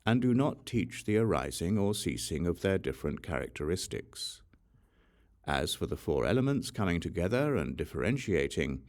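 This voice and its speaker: A man with a very low, gravelly voice reads a sacred text as an audiobook narrator. His delivery is measured and solemn, with deliberate pauses, yet his pitch moves expressively through the phrases. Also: darkish tone. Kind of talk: scripted reading of a Buddhist sutra.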